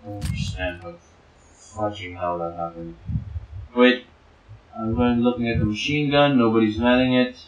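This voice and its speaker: A man co-hosting a let's play, in casual gaming banter, sounding exasperated and firing off quick repeated words. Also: medium pitch, dull audio, soft background music. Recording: roomy echo with faint noise.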